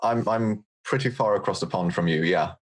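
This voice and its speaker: Deep-voiced guy just chatting on a podcast, explaining stuff casually. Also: normal speed, husky. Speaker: guest, audio drama lore editor.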